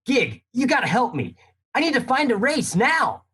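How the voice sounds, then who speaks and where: An actor playing a hungover, cranky young float racer with a husky voice and exasperated, whiny delivery in a comic radio drama. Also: mid-range voice, big pitch swings, moderate pace, sharp tone, exaggerated voice. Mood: panicked.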